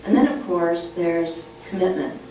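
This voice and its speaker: A woman with a fairly low voice, delivering measured panel remarks. She is recorded from across a very echoey hall with faint noise in the background. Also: expressive, a little mellow. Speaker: panelist, former official. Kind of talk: conference panel talk. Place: university conference room. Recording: echoey hall, distant mic.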